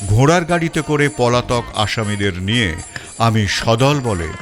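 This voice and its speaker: A male storyteller reads a first-person detective story, with an animated pitch that swings through every sentence. He sits at a middle register with a dramatic, expressive delivery. Faint background music plays behind him. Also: a little slow. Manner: expressive, rising and falling.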